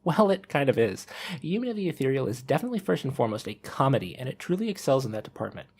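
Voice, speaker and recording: Male podcast co-host, animated intonation, gravelly and slightly husky, playful reviewer delivery. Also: medium pitch, very fast. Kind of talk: casual podcast talk and prepared review.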